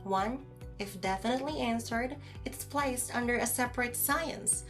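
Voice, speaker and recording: A woman playing a calm know-it-all philosopher who lectures a friend in formal, measured wording in a podcast audio drama. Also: fairly high pitch, soft background music, close to an everyday voice. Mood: earnest, measured.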